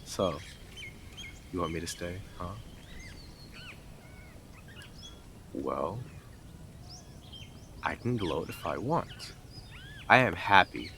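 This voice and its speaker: A man's voice: deep voice, animated, slow, gravelly, pauses often, background noise, heavily acted. A man playing a blunt, sarcastic, teasing stalker who is devoted to the listener in an intimate roleplay audio drama. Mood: teasing, gloating.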